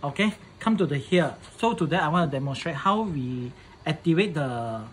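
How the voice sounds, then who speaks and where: A man presenting a casual hands-on setup tutorial in halting English, often repeating his phrases. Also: on the high side, animated, a little hiss or hum, rough recording.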